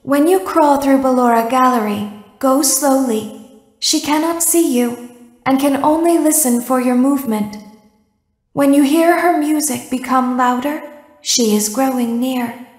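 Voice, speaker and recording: A woman voicing an eerie, childlike animatronic girl in a video game, wistful and sinister, speaking in a measured, detached way with deliberate pauses. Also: high voice. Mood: calm, cautioning. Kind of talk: video game character monologue. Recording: echoey hall with long reverb.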